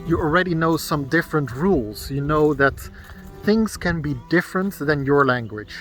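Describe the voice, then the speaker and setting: A man's voice: on the high side, lively intonation, normal speed, fairly bright tone, faint music behind. A man in his thirties from the Netherlands with a Dutch accent, talking casually to camera outdoors in the woods and explaining his thoughts as he goes. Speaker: vlogger.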